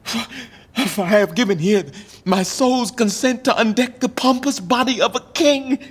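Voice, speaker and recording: A man's voice: very high-pitched, moderate pace, slightly rough. An actor playing a deposed English king in a Shakespearean radio play, declaiming verse in an anguished, mournful and bitterly proud way.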